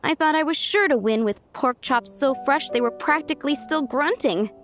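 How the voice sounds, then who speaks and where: A woman's voice: high voice, moderate pace, a bit bright. An actress playing a good-natured village woman cook in a video game. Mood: rueful. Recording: music playing behind the voice.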